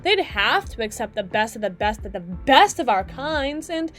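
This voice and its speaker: Woman acting as sardonic demon club DJ, high voice, very animated intonation, crass, defensive, exasperated, audio roleplay drama. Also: moderate pace, faint music behind. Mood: bitter, ranting. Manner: sarcastic, exasperated, biting, foul-mouthed. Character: snarky, crass, fast-talking female demon club DJ, bitter and defensive.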